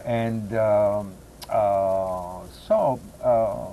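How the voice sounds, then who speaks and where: A man talks casually as an interview guest, haltingly, restarting phrases as he searches for words. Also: lowish voice, dark tone. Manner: halting, searching for words with repeated false starts. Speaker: guest, poetry reader. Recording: clear background noise and ambience.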